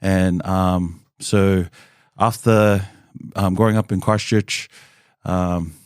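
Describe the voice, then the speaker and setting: A man, a podcast guest telling his life story in a relaxed, open way with plenty of "um" and "kinda". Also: deep voice, takes it slowly, clear, resonant tone, dry recording, clean studio sound. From New Zealand. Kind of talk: candid conversational storytelling.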